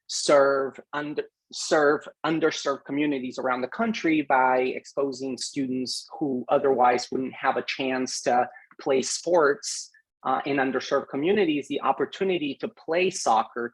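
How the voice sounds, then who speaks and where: Middle-aged man, from Peru, program director, slightly raspy tone, measured reflective delivery with fillers. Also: medium pitch, low-quality recording. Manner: reflective, unhurried, frequent fillers. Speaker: program director giving a graduation message. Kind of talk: recorded address to graduating students.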